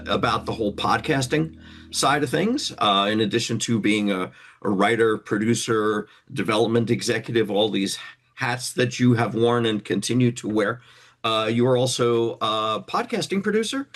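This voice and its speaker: Deep-toned casual male interview host. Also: lively intonation, normal speed, a bit bright.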